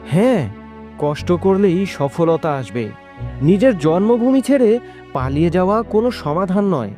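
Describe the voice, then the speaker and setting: Man playing earnest village farmer, lively and high-pitched. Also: unhurried, over music. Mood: reassuring. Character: Rahim, hardworking optimistic village farmer. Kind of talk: Bengali moral-story cartoon dialogue.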